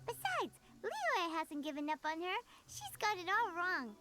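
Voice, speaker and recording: Perky little fairy companion, game role. Extremely high childlike voice, wildly swooping delivery. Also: female voice, wildly swooping pitch, a little slow, pushed and bright, exaggerated voice. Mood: earnest. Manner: perky, exclamatory, refers to herself by name. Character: tiny excitable floating fairy companion, childlike girl. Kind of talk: video game voice acting. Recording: game music behind the voice.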